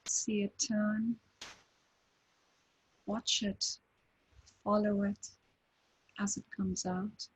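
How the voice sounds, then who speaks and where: A woman leads a breathing meditation at a very slow, unhurried pace. Her voice is airy and breathy, far from crisp. She lets each short phrase settle into a long pause. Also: medium pitch, little pitch movement. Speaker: meditation teacher.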